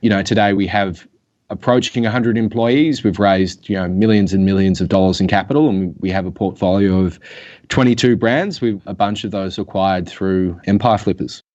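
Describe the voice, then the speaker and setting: Aussie guy calling in to an interview, lowish voice, bit muffled line, easygoing and rambly. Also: normal speed. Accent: Australian. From Australia. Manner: relaxed, talks in long runs with many "you know" fillers. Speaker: guest, acquisitions executive. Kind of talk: casual remote interview. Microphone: remote call.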